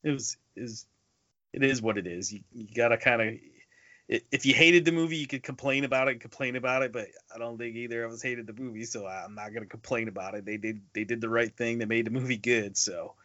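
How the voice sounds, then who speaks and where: Male podcast co-host, casual anecdotal storyteller, mid-pitched voice, dry but slightly lo-fi recording. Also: fast, sharp tone, dry recording. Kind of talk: casual movie review chat. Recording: dull lo-fi podcast audio.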